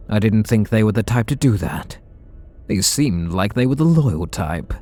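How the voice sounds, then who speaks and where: A man's voice: lowish voice, a little mellow, heavily acted. A man playing a sneering, sarcastic supervillain. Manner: sardonic and mocking. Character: sarcastic, bitter adult male supervillain. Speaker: voice actor. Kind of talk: ASMR roleplay audio drama.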